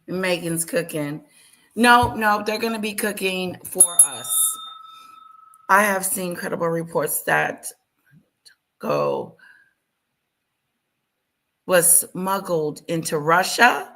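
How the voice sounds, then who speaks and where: A woman hosting a casual live stream, chatting and greeting viewers by name in a loud voice. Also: fairly low pitch, lively intonation, takes it slowly, long pauses, rough recording. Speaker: livestream host reacting to news clips.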